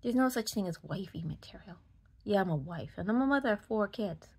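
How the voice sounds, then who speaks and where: A woman's voice: medium pitch, lively intonation, brisk, darkish tone, breathy edge. A woman in her forties with a Boston accent, giving a casual, emphatic opinion rant to camera in a frustrated way. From Massachusetts, United States. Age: 40s. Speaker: vlogger. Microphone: phone at arm's length.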